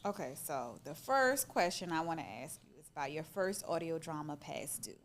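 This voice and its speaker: A woman's voice: low-pitched, sing-song, normal speed. A woman hosting a live interview at an event, introducing and questioning her guest. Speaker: interviewer, host.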